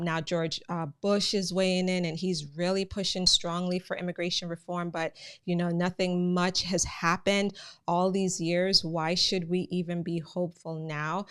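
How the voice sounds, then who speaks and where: A woman's voice: lowish voice, fairly bright tone, clear, resonant tone. A woman with a Jamaican accent, hosting a live immigration news channel and talking casually to her viewers, often calling them guys. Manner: chatty, addresses viewers as guys, many fillers.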